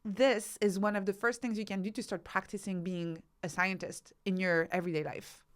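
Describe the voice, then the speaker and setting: A woman's voice: medium pitch, steady tone, moderate pace. A woman giving explanatory interview answers as a podcast guest, teaching through rhetorical questions and examples. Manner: instructive, uses rhetorical questions and acted-out examples. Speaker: podcast guest, researcher and author.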